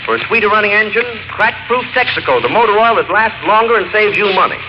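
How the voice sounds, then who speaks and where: High-pitched American male radio announcer. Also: lively intonation, fast. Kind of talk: 1930s radio sponsor announcement. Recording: noisy muffled 1930s radio recording.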